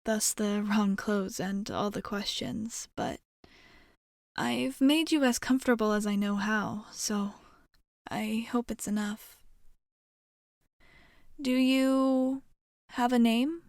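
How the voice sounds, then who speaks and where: A woman acting a gentle, curious young alien rescuer in an ASMR roleplay, speaking softly and reassuringly, with some hesitation, close to the microphone. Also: fairly high pitch, steady tone, slow pace, vocal fry, long pauses, no room sound. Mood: apologetic, curious. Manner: soft, reassuring, hesitant, caring.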